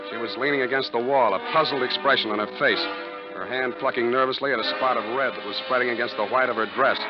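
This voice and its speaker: A cynical private detective played by a male actor in an old 1940s radio drama. He talks in a dry, sardonic, clipped manner at a medium pitch. The recording is dull and vintage, with prominent music. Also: lightly acted.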